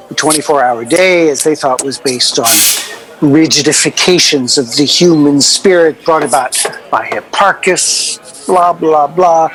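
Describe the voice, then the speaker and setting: A wry, exasperated music producer in a radio drama. His voice sits a little high for a man, and he rambles through digressive anecdotes in a conversational way. Music plays behind him. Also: a bit bright, rough recording. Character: wry, exasperated male music producer reminiscing about eccentric artists. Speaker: record label producer being interviewed.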